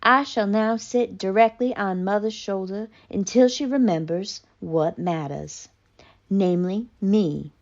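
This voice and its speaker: A woman voicing a haughty, melodramatic, traumatized female cat in a pompous, mock-tragic theatrical monologue with deliberate pauses. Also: medium pitch, dull audio, lightly acted. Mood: haughty, resolute.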